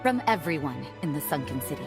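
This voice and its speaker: Game city leader: slightly low register, warm, assured, teasing, clear musical backing. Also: female voice, big pitch swings, quick pace. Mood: tender, grateful. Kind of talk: video game cutscene acting. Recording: music behind the voice.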